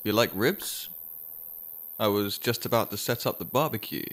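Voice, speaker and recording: Low-pitched, self-assured husband. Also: male voice, normal speed, fairly bright tone. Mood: affable, boastful. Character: Charles, proud record producer husband with menacing streak. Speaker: radio drama actor. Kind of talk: naturalistic radio drama dialogue.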